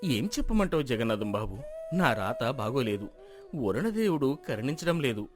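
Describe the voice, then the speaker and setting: A man's voice: medium pitch, very animated, normal speed, music in the background, natural voice. A man playing a stubborn, hopeful village farmer in an acted folk tale, speaking earnestly and pleadingly. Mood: bitter, dejected. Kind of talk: dramatized folk moral story acting.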